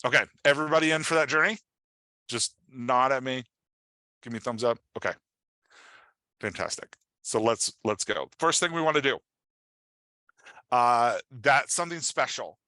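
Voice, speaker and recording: A man giving an informal workshop as a writing coach, talking in an animated, conversational way and often checking in with his listeners. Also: mid-range voice, normal speed, pauses often, no room sound, clean studio sound. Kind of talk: informal online workshop presentation.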